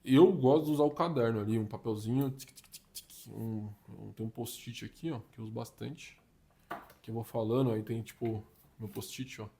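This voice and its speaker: A young man from Brazil, giving casual career advice in an animated, slangy way. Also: mid-range voice, moderate pace, creaky. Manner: slangy and animated, uses "tá ligado", "mano", "papo reto". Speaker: career vlogger giving communication tips.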